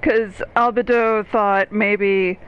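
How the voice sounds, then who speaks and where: A woman's voice: medium pitch, fairly even intonation, takes it slowly, clean tone. A woman chatting casually and jokingly, recorded on a dull lo-fi microphone. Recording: dull lo-fi mic.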